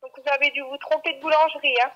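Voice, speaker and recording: A woman with a high-pitched voice handles an unscripted customer call. She is brisk and firm, putting questions to the customer as a shop employee would. She comes through a dull telephone line. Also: flat delivery, fairly bright tone. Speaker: bakery employee. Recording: dull, band-limited phone line.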